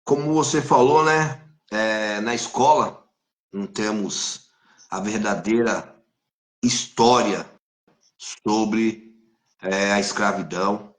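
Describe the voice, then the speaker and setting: Slow-spoken Brazilian capoeira master. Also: male voice, lowish voice, roomy sound. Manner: slow, deliberate, fatherly. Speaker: capoeira master, live-stream host. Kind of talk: live-stream interview.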